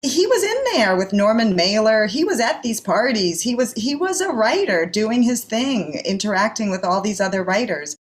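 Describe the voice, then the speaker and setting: A woman's voice: on the high side, big pitch swings, moderate pace, few pauses. A woman playwright giving conversational commentary as an interview guest.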